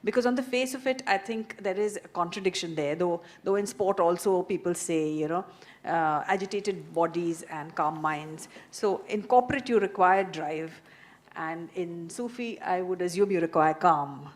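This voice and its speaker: A woman's voice: on the low side, moderate pace. Woman moderating a panel, thoughtful questions. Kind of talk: panel discussion at a festival.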